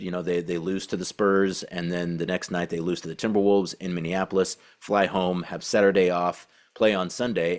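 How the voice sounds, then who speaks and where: A man hosting a sports podcast with casual commentary, talking in a conversational, halting way and circling back to rephrase. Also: deep voice. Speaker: podcast host and team beat reporter.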